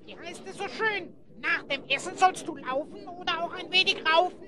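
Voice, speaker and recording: High-pitched cheeky little sidekick creature. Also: normal speed, noisy background. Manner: pert, jokey, fond of sayings.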